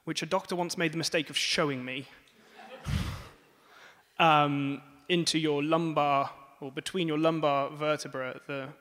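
A man's voice: a little high, steady tone, a little slow, fairly bright tone. A young man from France with a French accent, telling a personal story live to an audience, chatty and self-mocking with lots of ums. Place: museum event space. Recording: live hall with long reverb.

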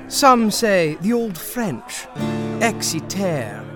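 An actor playing a pompous, bumbling Victorian gentleman detective in a comedic audio drama, wordy and precise. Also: high-pitched, sing-song, drawn-out words, over music, exaggerated voice. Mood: grandly musing.

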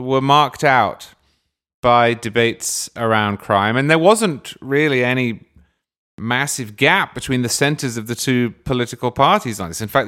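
A man hosting a long-form political interview. He asks long, winding questions at an even, mid-pitched level, stretching some phrases slowly and rushing through others. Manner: long, discursive questions with measured pauses. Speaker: podcast host.

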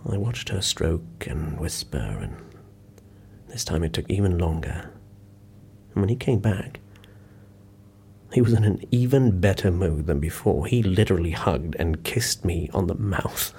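An actor playing a sombre man recounting an anecdote in an audio drama, hushed and unhurried, with dramatic pauses. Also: low voice, lively intonation, husky, heavily acted. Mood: wry, building. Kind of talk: audio drama acting, confiding storytelling.

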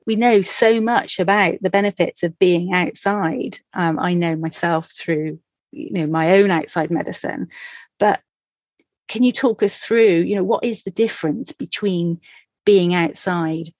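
A woman's voice: on the low side, unhurried, darkish tone, no echo. Enthusiastic woman podcast host. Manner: enthusiastic, effusive. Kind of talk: interview hosting.

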